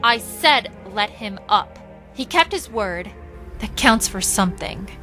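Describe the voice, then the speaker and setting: Forceful, scornful woman acting a vampire hunter. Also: medium pitch, expressive, slow pace, faint music behind. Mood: stern command. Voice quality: bright, forceful. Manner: commanding, taunting. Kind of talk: fantasy audio drama acting.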